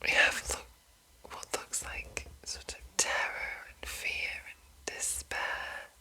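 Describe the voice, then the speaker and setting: A woman hosts in an ASMR style, in a breathy, bright whisper. She reads very slowly and deliberately, breaking her phrases into short pieces with long pauses between them. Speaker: host of an ASMR channel. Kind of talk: whispered ASMR reading and commentary.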